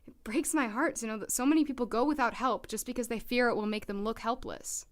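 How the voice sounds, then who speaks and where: Woman playing an earnest, higher-voiced new doctor. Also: quick pace, close to an everyday voice. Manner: polite, hesitant. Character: earnest, modest young female doctor, newly hired. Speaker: voice actor in an audio drama. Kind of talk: audio drama acting.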